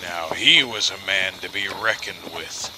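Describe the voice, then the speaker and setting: Man acting a folksy local storyteller, low pitch, slow deliberate pace, wry, ominous, theatrical, outdoor ambience behind. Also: background noise. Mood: knowing, ominous. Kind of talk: dramatic storytelling in an audio drama.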